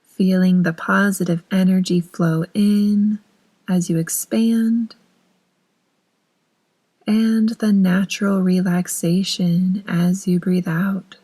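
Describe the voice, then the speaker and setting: A woman narrating a guided meditation in a soothing, unhurried manner with long pauses between phrases. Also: lowish voice, flat delivery, dark tone, breathy edge.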